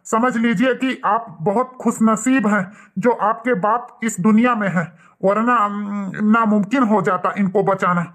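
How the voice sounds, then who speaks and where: A man playing a formal, reassuring hospital doctor who lectures gravely in a cartoon. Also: high-pitched, fairly even intonation, unhurried, fairly bright tone. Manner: formal, repetitive, cautioning. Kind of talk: cartoon dialogue.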